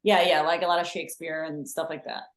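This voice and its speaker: A woman's voice: sits low, level tone, fast talker. A woman hosting a comedy podcast, asking her guests friendly questions in a chatty, encouraging way. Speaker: podcast host. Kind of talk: casual podcast chat. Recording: roomy echo.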